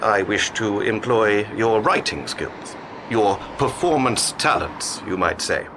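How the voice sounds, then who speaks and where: A man's voice: on the low side, expressive, takes it slowly. An actor in a radio play playing a cryptic, courteous male stranger who speaks formally and slyly. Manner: formal, enigmatic. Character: smooth, cryptic male stranger making an offer, politely sly. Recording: clear background noise, vehicle ambience.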